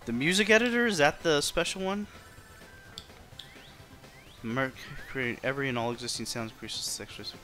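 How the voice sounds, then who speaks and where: A man's voice: medium pitch, normal speed, long pauses. A man chatting casually and slangily as he streams a video game, easygoing in manner. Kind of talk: casual gameplay stream chat. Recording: loud game music behind voice. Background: video game music.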